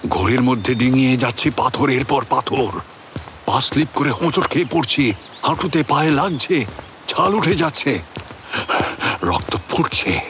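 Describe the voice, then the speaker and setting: A man's voice: mid-range voice, fairly bright tone, a little hiss or hum. An actor playing an obsessed middle-aged family man who recounts a haunted pursuit in a theatrical, intense suspense narration. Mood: feverish. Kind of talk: dramatic first-person audio story narration.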